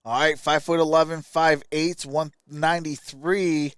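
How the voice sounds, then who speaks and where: A man's voice: a little high, flat delivery, normal speed, a bit bright, slight background noise. A man hosting a live stream, giving casual draft commentary and reading out stats in clipped phrases.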